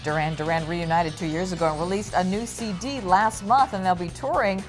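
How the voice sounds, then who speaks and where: A woman's voice: lowish voice, a little fast, soft background music. A woman co-anchoring a newscast, reading scripted news and entertainment items.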